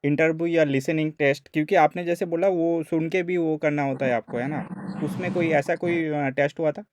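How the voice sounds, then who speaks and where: A man's voice: fairly high pitch, steady tone, moderate pace, background noise. A man hosting a casual interview, asking informal, rambling questions peppered with check-in tags. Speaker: interview host. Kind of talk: casual interview in Hindi mixed with English.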